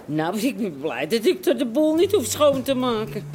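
An actress with an Amsterdam accent playing a chatty, bossy, caring café barmaid in a radio drama, brisk and direct. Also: female voice, fairly high pitch, very animated, a little mellow. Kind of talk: Dutch radio drama acting.

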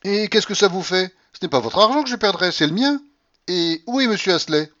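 A man's voice: high voice, lively intonation, brisk, a bit bright, lo-fi sound, voice pushed up. A man voicing an imperious, eccentric rich old Russian grandmother in an audiobook, blunt and bossy. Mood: defiant, brusque.

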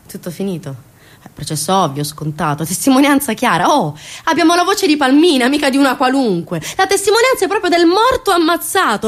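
An actress performing an impassioned theatre monologue as a bitter, indignant storyteller, sarcastic and dramatic, swinging between hushed and loud. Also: female voice, on the high side. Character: bitter, indignant woman storyteller recounting an injustice.

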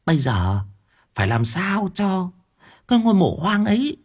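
A shrill, husky village wife, greedy and conspiratorial, wheedling her husband with sudden high swoops, as a male storyteller puts her on. Her pitch leaps far above the narrator's own. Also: big pitch swings, moderate pace, heavily acted. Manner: nagging, conspiratorial, whispers and cajoles. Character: scheming sharp-tongued village wife.

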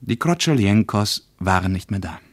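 A male actor playing a reflective traveller who reads his travel diary aloud as scripted radio narration, in a measured, literary manner. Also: fairly low pitch, animated, no room sound.

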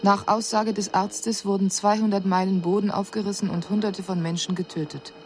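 An actress playing an analytical alien envoy, giving a formal report in a measured, detached manner. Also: female voice, mid-range voice, little pitch movement, slight background noise, close to an everyday voice. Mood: clinical. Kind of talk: formal scripted report in radio drama.

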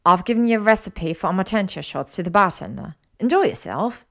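A bubbly young witch hostess voiced by a woman narrator in an audio story. She sounds eager and gushing, pitched higher and louder than the narration. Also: animated, brisk, gravelly. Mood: excited.

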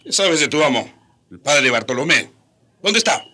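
An actor playing a haughty, exasperated Spanish conquistador captain in a radio drama, scolding and indignant. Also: male voice, a little high, big pitch swings, moderate pace, bright, forceful tone, heavily acted. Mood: impatient calling.

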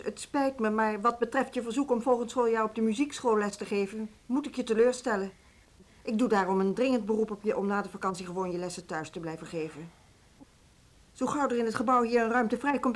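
Een actrice speelt een beleefde maar besliste medewerkster van een muziekschool in een hoorspel, afgemeten sprekend. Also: female voice, fairly high pitch, lightly acted. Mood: apologetic, firm. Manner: measured, polite but firm. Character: Gerda, firm, formally polite woman music-school staffer. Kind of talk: Dutch radio drama acting.

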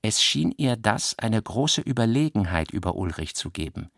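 A man's voice: lowish voice, sing-song, moderate pace, gravelly, a bit bright, no echo, studio quality. A man reading literary prose as the narrator of a radio drama.

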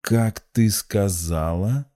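A war-worn young hero of an audiobook, as a male narrator plays him, feverish and resolute. His voice is dark and low, with curt, desperate intensity. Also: a little slow. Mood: cold anger. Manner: intense, curt, through clenched teeth.

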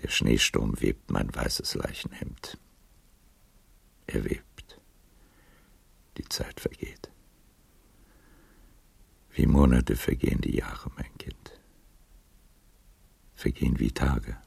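An actor playing a weary, resigned man over seventy in a literary radio play, speaking haltingly and poetically with a worn voice. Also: steady tone, no echo, in a whisper, heavily acted. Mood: resigned, melancholy. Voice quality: very deep, gravelly, worn voice. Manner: slow, halting, poetic delivery.

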